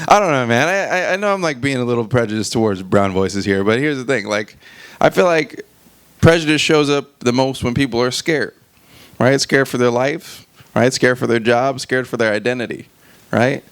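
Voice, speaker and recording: A man performing conversational stand-up at a live open mic, animated and sweary, often checking in with the audience using short questions. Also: mid-range voice, moderate pace. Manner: animated, swearing, frequent rhetorical check-ins to the crowd. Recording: clearly noisy live open mic recording.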